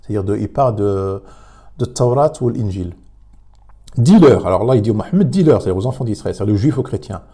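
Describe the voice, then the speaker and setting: A man commenting on a religious debate, emphatic but halting, with many repeated words and fillers. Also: medium pitch, mellow, breathy edge. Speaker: commentator reacting to a clip.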